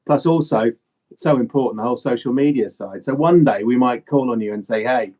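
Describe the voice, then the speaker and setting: A man's voice: medium pitch, brisk, warm tone. Chatty man co-host, dull lo-fi sound. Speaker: podcast co-host. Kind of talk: casual interview chat.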